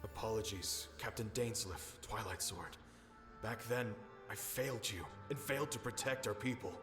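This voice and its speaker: A man voicing the sorrowful, loyal ghost of a fallen knight in a video game, halting and reverent, with a ghostly echo. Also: fairly low pitch. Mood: remorseful. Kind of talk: video game character acting. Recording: echoing game voice under music.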